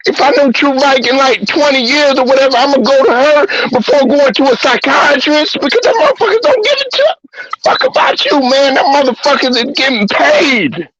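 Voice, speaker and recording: High-voiced man ranting bluntly. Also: sing-song, normal speed, fairly bright tone, dry recording, muffled recording. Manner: blunt, repetitive, heated. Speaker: host. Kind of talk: profane sports-talk rant.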